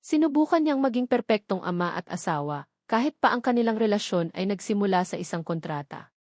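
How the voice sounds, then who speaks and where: A woman's voice: mid-range voice, animated, fairly quick, a little mellow, dry recording. Filipino woman narrating, dry close sound. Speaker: story narrator. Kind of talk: scripted dramatic story narration.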